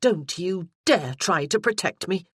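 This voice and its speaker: An audiobook narrator voicing a proud, spirited young noblewoman who answers teasing with haughty retorts, raised and louder than the narration. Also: medium pitch, animated, breathy edge, no echo, studio quality. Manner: haughty, quick to tease back.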